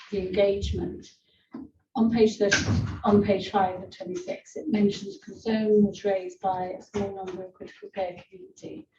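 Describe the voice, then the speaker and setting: A woman board member posing methodical questions in a formal meeting, citing page numbers and pausing with "um". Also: medium pitch. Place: meeting room. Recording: distant echoey muffled mic with faint noise.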